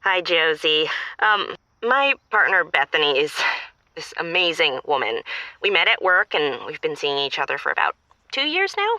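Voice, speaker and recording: A man's voice: a little high, big pitch swings, moderate pace, sharp tone, dry recording, full character voice. A man playing an earnest, smitten caller to a radio love show, speaking casually over a dull call-in line. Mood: earnest, proud. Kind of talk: casual, conversational audio comedy acting.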